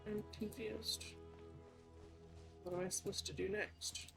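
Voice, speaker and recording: A woman with a mid-range voice streams a game live. She comments casually and thinks out loud, with faint game music underneath. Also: mid-range voice, flat delivery, a little slow, vocal fry. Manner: thinking aloud, informal.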